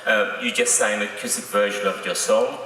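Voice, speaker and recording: A man's voice: lowish voice, fairly even intonation, fairly quick, sharp tone. A man working as a magazine journalist who asks his questions in a playful, teasing way and praises generously. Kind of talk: joking press question. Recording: very echoey hall from far off.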